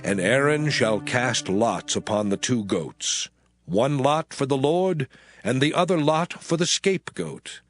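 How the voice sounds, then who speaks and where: A man narrating scripture from a script in a measured, formal way. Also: mid-range voice, lively intonation. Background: music.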